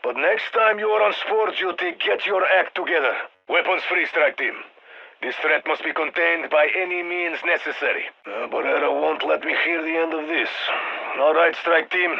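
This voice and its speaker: Gravelly Russian soldier, on radio. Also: male voice, fairly high pitch, normal speed, lo-fi sound. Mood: stern, commanding. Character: stern, dry Russian soldier commanding a strike team. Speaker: mission handler. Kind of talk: scripted video game military voice lines.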